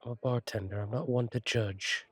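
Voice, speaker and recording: A man playing a friendly, attentive fallen-angel bartender in an intimate roleplay audio, reassuring and chatty, with gentle wry humour and a husky voice. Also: medium pitch, fairly even intonation, normal speed, dry recording, natural voice. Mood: welcoming, reassuring. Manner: reassuring, chatty, wryly playful, slightly formal wording. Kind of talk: intimate one-sided roleplay audio acting.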